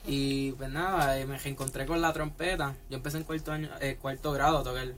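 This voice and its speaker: A man's voice: mid-range voice, level tone, fairly quick, a bit bright, a little hiss or hum. Casual young Puerto Rican man. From Puerto Rico. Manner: casual, many fillers like "pues nada". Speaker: music student, trumpeter. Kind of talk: casual interview answer.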